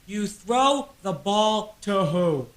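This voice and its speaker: A woman's voice: mid-range voice, expressive, takes it slowly. A woman playing the assured straight man in an old-time radio comedy double act, with insistent, clipped replies.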